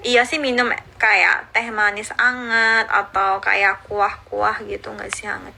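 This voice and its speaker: A woman in her thirties who grew up in Indonesia, chatting casually on a phone livestream and answering viewer comments, cheerful and quick to laugh. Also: medium pitch, flat delivery, creaky, sharp tone. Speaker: livestreaming YouTuber. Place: outdoors or at home in Seoul.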